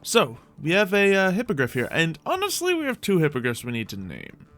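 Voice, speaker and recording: A man's voice: a little high, huge pitch swings, fairly quick, fairly bright tone, faint music behind. A man hosting a gaming video, giving playful, chatty roleplay commentary that rambles along and often addresses the game's creatures directly.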